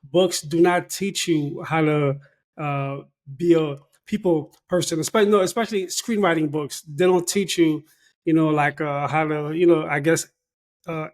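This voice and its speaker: Hesitant man hosting an interview. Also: a little high. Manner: hesitant, many "uh" and "you know" fillers. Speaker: podcast host. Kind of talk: casual interview questions.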